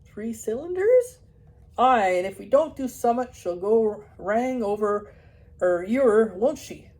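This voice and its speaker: A woman reading a story and voicing a plump, blustering rural farmer, loud and folksy. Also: medium pitch, a little slow, natural voice. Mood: hearty, loud. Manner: loud, folksy, dialect-heavy.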